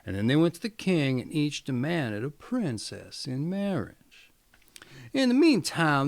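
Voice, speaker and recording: A man's voice: mid-range voice, gravelly, dry recording. A man reading a fairy tale aloud as a storyteller, with theatrical, emphatic delivery. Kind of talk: expressive scripted fairy-tale narration.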